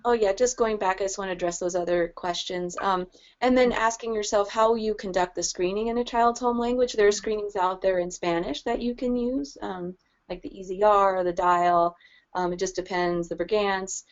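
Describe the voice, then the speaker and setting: A woman's voice: medium pitch, fairly even intonation, moderate pace, lo-fi sound. A woman presenting practical advice in a webinar, friendly and explanatory, with light laughs. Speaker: early childhood language specialist presenting.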